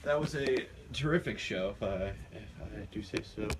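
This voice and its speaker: A man hosting a casual interview show with playful, halting banter. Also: lowish voice, a crowd in the background. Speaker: talk show host. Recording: noisy room ambience, amateur show recording.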